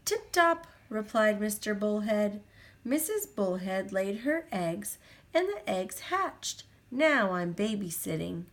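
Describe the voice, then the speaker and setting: Adult woman reading a picture book to a small child; slow, very expressive pitch, gentle sing-song delivery. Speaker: storyteller reading aloud to a child.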